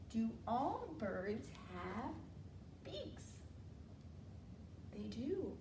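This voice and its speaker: An American woman leading an interactive storytime for preschoolers, asking simple questions and drawing out key words in an animated way. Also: high voice. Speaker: children's storytime librarian. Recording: roomy, distant mic, faint room noise.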